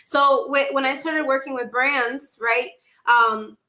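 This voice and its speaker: A woman's voice: sits high, slow pace, echoey room. A young woman from the Dominican Republic and New York City, talking casually and animatedly as a podcast guest. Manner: animated storytelling, acts out her own past remarks. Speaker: podcast guest, actress and content creator. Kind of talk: casual conversational interview.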